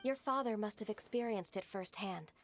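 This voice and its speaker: A woman voicing a gentle, earnest half-immortal maiden in an anime video game, speaking in a soft, polite, formal and hesitant way. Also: mid-range voice, normal speed, faint music behind, natural voice. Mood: gently admonishing.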